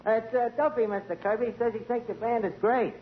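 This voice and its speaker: A man's voice: very high voice, fairly quick. Brash wisecracking man on old radio. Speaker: tavern manager and show host. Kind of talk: 1940s radio comedy acting. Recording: dull old radio broadcast with faint hiss.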